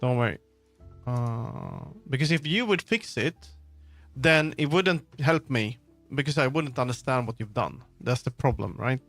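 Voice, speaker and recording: A man chatting casually on a livestream as he works, often halting and muttering to himself. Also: mid-range voice, lively intonation, a bit bright. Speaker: livestreamer making a game. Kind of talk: casual livestream chat while working. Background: background music.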